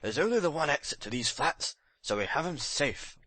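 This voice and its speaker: Man playing proud, bright-voiced police detective. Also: a little high, sing-song, normal speed, no room sound, full character voice. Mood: eager. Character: eager, proud male Scotland Yard police detective.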